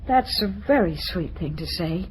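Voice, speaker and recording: A woman's voice: on the low side, lively intonation, normal speed, a little mellow, lightly acted. A woman playing a sweet, flustered young engaged woman, emotional and proper, in an old-time radio drama. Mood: wistful. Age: young adult. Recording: noisy vintage radio drama recording.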